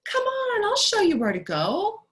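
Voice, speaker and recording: A woman voicing a gushing, brisk female school principal in a storybook read-aloud. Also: very high-pitched, wildly swooping pitch, pitched above the performer's own voice, heavily acted. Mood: gushing welcome. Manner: gushing, bright, authoritative.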